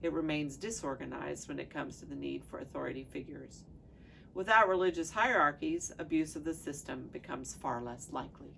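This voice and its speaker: A woman with a notably low, gravelly voice reads aloud as a pastor sharing a devotional text. Her phrasing is measured and deliberate, and there is some background noise in the recording. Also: fairly bright tone. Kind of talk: reading aloud from a devotional book.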